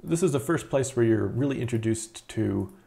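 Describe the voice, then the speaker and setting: A man in his thirties, an indie game developer, talking casually through a screen-recorded devlog with a relaxed, rambling manner and many fillers. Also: mid-range voice, normal speed, vocal fry. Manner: relaxed, chatty, frequent uh fillers and repeated words. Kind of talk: casual video devlog narration.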